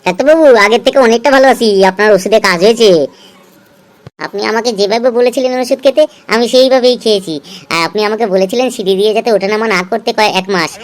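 Woman playing a chatty, fast-talking cartoon patient. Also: fairly high pitch, lightly acted. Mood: grateful. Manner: wordy, complaining, colloquial. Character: chatty, naive, cheeky cartoon clinic patients. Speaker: cartoon voice actor. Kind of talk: comic Bengali cartoon dialogue.

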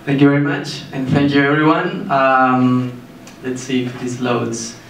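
A man's voice: medium pitch, little pitch movement. Man presenting in an echoey room. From Argentina. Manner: halting, many fillers and restarts. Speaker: startup founder giving a talk. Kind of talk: presentation to an audience. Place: meeting room with a projector screen, indoors. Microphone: handheld mic, filmed by a far camera. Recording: echoey room, mic far off, faint hiss.

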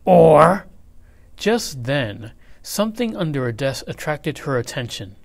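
A man reading a children's picture book aloud in an expressive, measured, dramatic way. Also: mid-range voice. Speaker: read-aloud storyteller.

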